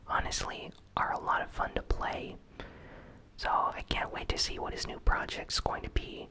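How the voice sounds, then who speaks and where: A host whispering a casual, conversational ASMR gaming news recap in a breathy, unvoiced whisper. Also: low-quality recording.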